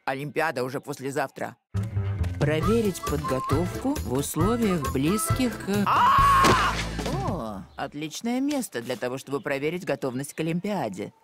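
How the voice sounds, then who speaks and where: A woman's voice: deep voice, wildly swooping pitch, moderate pace. A woman playing an enthusiastic mother coaching her son in a cartoon, with a ceremonious, announcing manner. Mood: determined. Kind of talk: animated cartoon acting. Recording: music under the voice.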